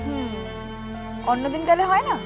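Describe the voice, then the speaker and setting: Actress as a high-voiced, bold young woman. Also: animated, moderate pace, music in the background. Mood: curious, coaxing. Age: young adult. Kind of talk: acted dialogue in a Bengali short film.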